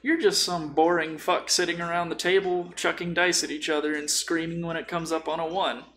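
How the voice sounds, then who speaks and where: A man's voice: fairly high pitch, level tone, fairly quick, fairly bright tone, a little hiss or hum. A young man in casual podcast chat, joking, irreverent and freely swearing. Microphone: boom-arm microphone.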